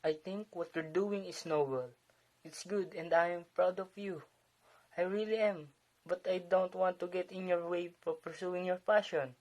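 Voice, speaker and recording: A man's voice: sits high, fairly even intonation, normal speed, a little mellow, close to an everyday voice, poor audio. A man playing a weary, discontented husband in an amateur one-act play, frustrated and resigned. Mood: weary, apologetic.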